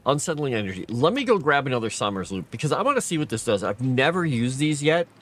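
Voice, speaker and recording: A man's voice: medium pitch, big pitch swings, quick pace. A tired man streaming a game late at night, thinking aloud and chatting with viewers. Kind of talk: casual gameplay live stream commentary.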